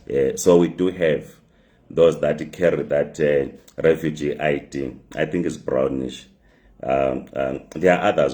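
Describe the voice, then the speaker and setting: A man with a hoarse voice from the flu, hosting a live talk show from his phone, speaking haltingly with many fillers and repeated words. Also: rumbling low, darkish tone. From migrant living in South Africa.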